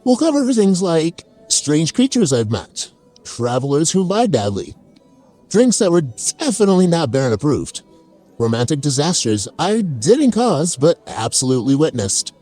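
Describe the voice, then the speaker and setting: Casting: theatrical, chaotic fantasy barkeep played by a man, very animated delivery, mellow tone, oversharing comic storyteller. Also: medium pitch. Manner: theatrical, wry, comically self-deprecating. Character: chaotic oversharing fantasy tavern keeper spinning tall tales. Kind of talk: dramatic first-person comic storytelling.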